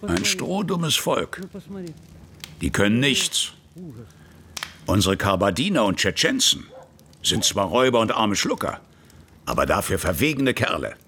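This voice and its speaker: An actor playing a gruff, blunt and contemptuous Russian army veteran of about fifty in a radio drama. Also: male voice, on the low side, very animated, unhurried, long pauses, crowd noise. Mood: grumbling, reminiscing.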